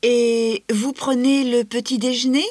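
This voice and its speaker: An actress voicing a polite, curious female interviewer who asks short, clear questions. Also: fairly high pitch, steady tone, a little slow, a little mellow, no echo. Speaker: interviewer in a scripted listening-test dialogue. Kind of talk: scripted dialogue reading.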